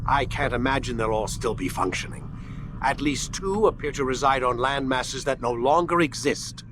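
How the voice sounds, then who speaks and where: Bright, sharp-voiced haughty scientist played by a man. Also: medium pitch, animated, moderate pace, slight background noise, lightly acted. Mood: businesslike. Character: impatient, haughty male scientist serving a secretive corporation.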